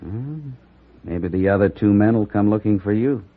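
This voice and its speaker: A man's voice: fairly low pitch, moderate pace. A man playing a hearty, earnest army colonel in an old-time western radio drama, businesslike and persuasive. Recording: muffled old radio recording.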